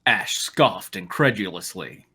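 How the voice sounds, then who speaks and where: A man's voice: low voice, expressive, a little slow, sharp tone, husky. A man narrating a fan fiction aloud and breaking off for casual riffing.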